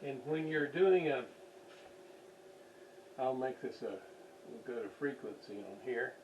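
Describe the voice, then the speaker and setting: A man giving an unscripted hands-on demonstration at a workbench, halting as he thinks aloud while he works. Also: mid-range voice. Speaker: radio restoration hobbyist, demonstrator. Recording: distant mic with faint background noise.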